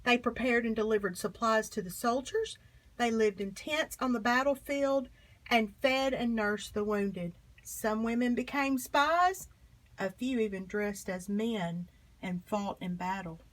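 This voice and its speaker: A woman reading a children's history book aloud in an expressive, emphatic storytelling manner. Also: on the high side, a little slow, rough recording. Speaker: storybook reader.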